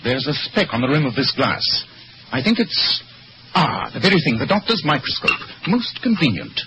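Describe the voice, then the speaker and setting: An actor playing a shrewd, masterful gentleman detective in an old-time radio drama, speaking precisely and theatrically. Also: medium pitch, very animated, a little hiss or hum, low-quality recording. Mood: intent, satisfied. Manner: brisk, commanding, theatrical, precise.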